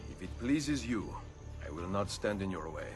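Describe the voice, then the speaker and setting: A man playing a confident, smooth-talking Italian nobleman and assassin in a video game cutscene, with an Italian accent. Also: deep voice, sing-song, moderate pace, over music. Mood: smooth, flirtatious.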